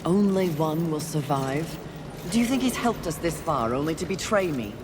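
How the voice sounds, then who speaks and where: Game role: stern female warrior, imperious, clipped and cold, with noisy rumble behind her. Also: lively intonation, normal speed. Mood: stern, vexed. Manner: curt, cold, commanding. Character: stern, haughty female warrior on a grim mission. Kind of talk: video game cutscene dialogue.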